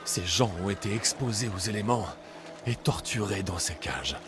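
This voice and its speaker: An actor playing a determined, protective ancient Greek mercenary in a video game. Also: male voice, lowish voice, animated, moderate pace, over music, natural voice. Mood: grim, appalled.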